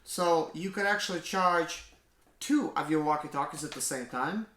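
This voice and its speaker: A man casually unboxing a gadget on his vlog, often exclaiming with excitement. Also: fairly high pitch, moderate pace. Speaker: mobile mechanic YouTuber. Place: indoors at home. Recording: echoey room with faint noise.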